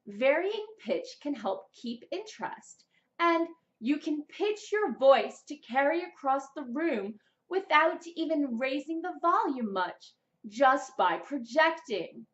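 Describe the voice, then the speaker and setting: A young adult woman presenting a scripted vlog of writing tips in an expressive, deliberate public-speaking manner. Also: high-pitched, lo-fi sound. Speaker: vlogger, writer and amateur voice actor. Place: small plain indoor room, seated.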